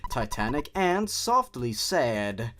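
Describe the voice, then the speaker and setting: A man's voice: mid-range voice, very animated, takes it slowly, a bit bright, a little hiss or hum. A man hosting a dramatic reading of a horror story, dropping in sarcastic, exasperated comments of his own. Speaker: host. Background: music.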